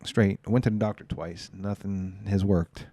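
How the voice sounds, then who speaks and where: A man's voice: low voice, steady tone, moderate pace, dark tone, no room sound. A man in his forties co-hosting a casual podcast, rambling through a story and quoting what others said, with a lingering cough. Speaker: podcast co-host. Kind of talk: casual chat.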